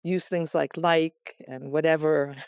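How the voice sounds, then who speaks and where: An American woman in her sixties talks in a chatty, easy way and laughs often. Her voice is smooth and clear. The sound is dry and close. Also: low voice, steady tone, takes it slowly, darkish tone, no room sound. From West Los Angeles, USA. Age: 60s. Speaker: guest. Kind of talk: conversational interview. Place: attic room of a farmhouse.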